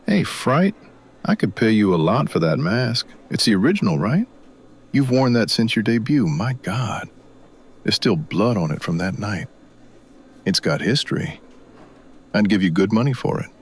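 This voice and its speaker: Guy doing a middle aged wrestling superfan in a radio drama, kinda husky, awed and eager, coaxing. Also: fairly low pitch, big pitch swings, moderate pace, pauses often, pitched above the performer's own voice, heavily acted. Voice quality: soft, husky. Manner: hesitant, reverent, coaxing. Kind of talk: dramatic audio fiction acting.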